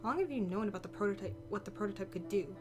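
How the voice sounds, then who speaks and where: A wary, secretive heroine from a visual novel, read out by a female player. She thinks aloud in a hesitant, brooding way at a middling pitch, over clearly audible music. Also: expressive, very fast, natural voice. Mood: irritated, reasoning.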